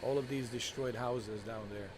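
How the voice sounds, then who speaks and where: A man's voice: medium pitch, fairly even intonation, normal speed. A man from Bosnia with a slight Bosnian accent, rambling through casual gameplay commentary and talking straight to his viewers. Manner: rambling, opinionated, talks to viewers as "guys" and "bro". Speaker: gaming video creator. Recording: loud background noise.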